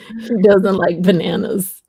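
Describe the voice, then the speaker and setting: A woman hosts a live stream in an unhurried, drawn-out way, her voice gravelly with vocal fry. She reads out viewer comments and thanks her guest, and the sound is close and dry. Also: medium pitch, mellow, dry recording. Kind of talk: casual live stream chat.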